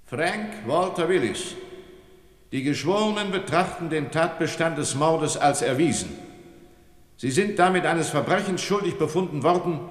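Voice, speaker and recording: A man plays a stern radio drama judge with a fairly high male voice. He speaks in solemn, official pronouncements that ring with long reverb. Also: animated, moderate pace, close to an everyday voice. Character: stern, formal judge pronouncing a death sentence. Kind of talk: German radio play acting, courtroom pronouncement. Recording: echoey hall with long reverb.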